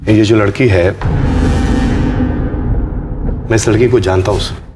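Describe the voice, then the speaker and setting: Actor as low-voiced, deferential male informant. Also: fairly even intonation, close to an everyday voice. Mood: earnest, uneasy. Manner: deferential, halting, careful. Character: earnest, polite male civilian informant confiding in police. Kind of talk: Hindi TV crime serial acting. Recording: dramatic music under dialogue.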